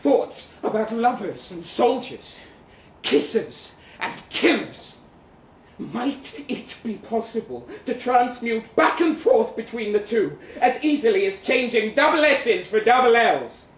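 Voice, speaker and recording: A man's voice: very high voice, very animated, slow, heavily acted. A man performing a live dramatic reading as a bitter, witty crippled young Russian ex-soldier, in a theatrical, florid, wry manner. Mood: wry, musing. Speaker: performer reading a short story aloud. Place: live event venue in London, indoors. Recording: roomy, distant live recording with noise.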